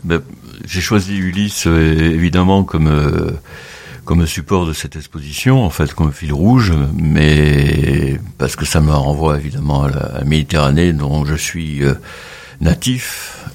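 A man from around the Mediterranean, a photographer giving a radio interview, speaking haltingly with many pauses and fillers. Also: a bass voice, gravelly, darkish tone. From Mediterranean, between Tunisia and Marseille. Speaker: photographer, guest.

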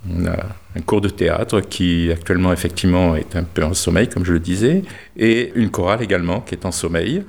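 This is a man with a very low voice giving a measured account as head of a cultural association. He explains at a steady pace and pauses now and then with short fillers. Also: creaky. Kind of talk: interview statement.

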